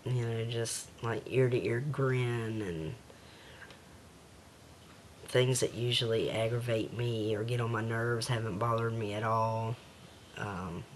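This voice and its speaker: A man with a slightly raspy voice talking hesitantly in a casual home video diary, with many pauses and fillers. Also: mid-range voice, slight background noise.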